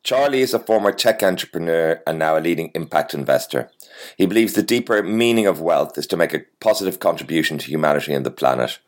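A man's voice: deep voice, lively intonation, fast. A man hosting a podcast, reading a polished introduction and asking interview questions. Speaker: podcast host.

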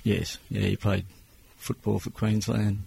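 Australian business owner in his 60s, low flat delivery, plain-spoken, measured. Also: gravelly, a little hiss or hum. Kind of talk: interview answers.

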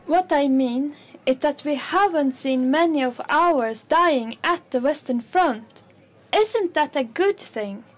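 Mild pious working gal, high voice, takes her time. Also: takes it slowly. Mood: placid. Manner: mild, questioning and placid. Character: meek working-class woman, resigned and pious. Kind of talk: radio play acting.

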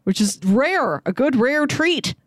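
A woman's voice: high-pitched, animated, takes it slowly, dry recording, studio quality. A woman co-hosting a podcast, casually and enthusiastically discussing film. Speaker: podcast co-host.

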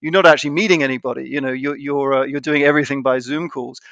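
A man in a dry-sounding room, answering interview questions as a company co-founder. He circles back on himself, repeating words and restarting his sentences as he thinks aloud, at a middling pitch. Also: steady tone, quick pace, creaky, a bit bright, no room sound, lo-fi sound. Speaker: CEO and co-founder, guest.

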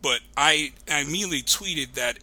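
A man's voice: a little high, normal speed, bright, forceful tone. A man who is a podcast guest, telling a story casually in a rambling, digressive way.

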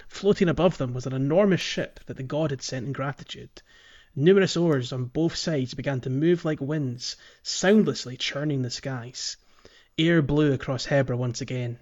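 A man's voice: medium pitch, normal speed, a little mellow, muffled recording. A man hosting a podcast, talking casually through a video game and sometimes reading aloud. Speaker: podcast host.

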